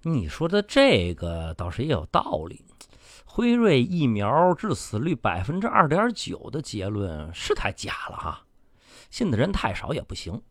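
A man's voice: mid-range voice, wildly swooping pitch, unhurried, dry recording, studio quality, heavily acted. A man playing a bullying, cynical media boss in a radio drama, domineering and condescending, swearing and badgering with questions. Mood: smug, instructing.

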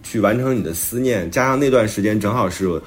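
A man's voice: fairly low pitch, brisk. A man who is an author and podcast co-host, talking reflectively and explaining his thoughts in conversation.

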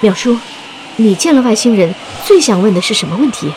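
Woman acting gentle high-voiced astronaut mother, noisy. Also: lively intonation, brisk, lightly acted. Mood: earnest. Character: tender young mother heading into space. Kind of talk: audio drama acting. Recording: clearly noisy ambience.

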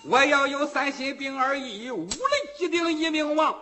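A man voicing a bold, blunt young hero in a traditional spoken-and-chanted story, forceful and commanding. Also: extremely high pitch, moderate pace, slight background noise. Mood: solemn oath.

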